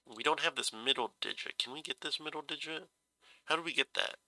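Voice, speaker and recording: Brief: congested man solving a puzzle aloud, bright gravelly tone, dry close sound, halting and self-correcting. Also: a little high, no echo, rough recording. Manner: hesitant, musing, self-correcting. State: stuffy nose, slightly scratchy voice from a cold. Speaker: puzzle solver.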